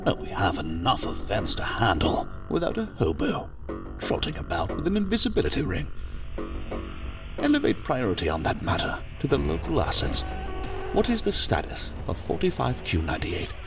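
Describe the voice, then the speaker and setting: An actor playing a commanding, dryly witty ambassador in a science fiction audio drama, giving formal orders with theatrical flourishes. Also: male voice, mid-range voice, wildly swooping pitch, moderate pace, vocal fry, far from the mic, over music. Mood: imperious.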